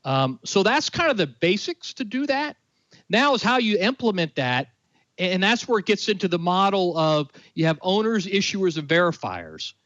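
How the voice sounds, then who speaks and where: A man with a somewhat high voice explains ideas in an earnest way, stumbling through fillers and restarts. His sound is dry and close, with a dull, lo-fi tone. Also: sing-song, moderate pace, a bit bright, no room sound. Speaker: guest expert. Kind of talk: explanatory conversation.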